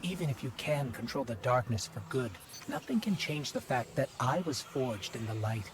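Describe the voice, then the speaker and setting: A man's voice: mid-range voice, big pitch swings, moderate pace, noisy background, natural voice. Man as an earnest, anxious game companion. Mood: uneasy. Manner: earnest, worried, hesitant. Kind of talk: video game companion dialogue.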